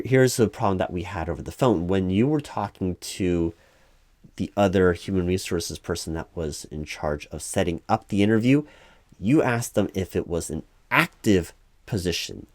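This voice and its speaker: A man acting as an exasperated recruiting manager in an audio interview roleplay, with a low voice and a close, dry sound. He stays polite and insistent, halting and repeating himself as he over-explains. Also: no echo. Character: exasperated male recruiting manager, polite but increasingly weary. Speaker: job interviewer. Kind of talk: one-sided ASMR roleplay, spoken at normal volume.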